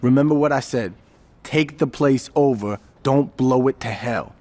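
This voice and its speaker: A man plays a street-smart gang lieutenant in a video game. The voice sits in a middle register, brisk and confident. The delivery is slangy and wry, made for giving orders. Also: medium pitch, darkish tone, natural voice. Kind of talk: video game cutscene acting.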